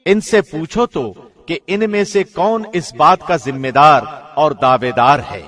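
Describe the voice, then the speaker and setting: Solemn man reading scripture, slightly high voice. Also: fairly bright tone. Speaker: translation reader, professional radio host.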